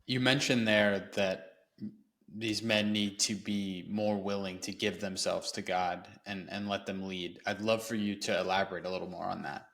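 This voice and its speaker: A man hosting a podcast, asking relaxed, encouraging questions. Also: deep voice, normal speed. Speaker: podcast host. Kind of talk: podcast interview.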